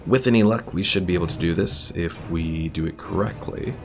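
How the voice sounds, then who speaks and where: Deep-voiced man streaming a strategy game. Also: fairly quick. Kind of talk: casual live gameplay commentary, chatting with viewers. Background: game music.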